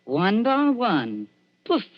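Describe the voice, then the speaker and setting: A woman's voice: medium pitch, wildly swooping pitch, drawn-out words, exaggerated voice. An actress playing a sinister, falsely sweet old woman, in 1940s radio style. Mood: oily, teasing. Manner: oily, falsely sweet, taunting. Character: wheedling, sinister old female body-snatcher boss. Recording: dull, muffled old radio recording.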